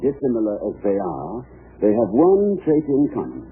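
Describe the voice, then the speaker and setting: Wry college president, vintage radio. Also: male voice, lowish voice, wildly swooping pitch, breathy edge, full character voice. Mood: teasing, dry. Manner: wry, eloquent, measured formal phrasing. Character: witty, urbane middle-aged male college president, affectionate husband. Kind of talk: 1950s radio comedy-drama acting. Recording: muffled vintage 1950 radio broadcast.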